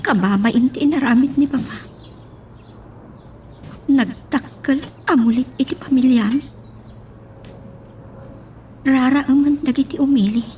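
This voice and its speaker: High, soft-toned troubled young woman, acted. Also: measured pace, pauses often, slight background noise. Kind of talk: Ilocano audio drama acting.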